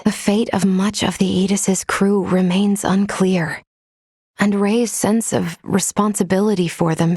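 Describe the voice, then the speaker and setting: A woman voicing a composed, dutiful android crew member in a video game, speaking in a measured, formal, precise way. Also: lowish voice, a little mellow, slightly rough, no echo, studio quality. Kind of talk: scripted video game character lines.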